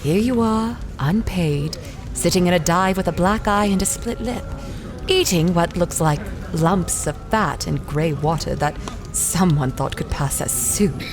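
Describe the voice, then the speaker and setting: A woman's voice: fairly low pitch, measured pace. A woman playing a formal, dryly polite envoy for a wealthy employer in a 1930s adventure audio drama. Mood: dryly impressed. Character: composed, businesslike female envoy of a wealthy employer. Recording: music behind the voice.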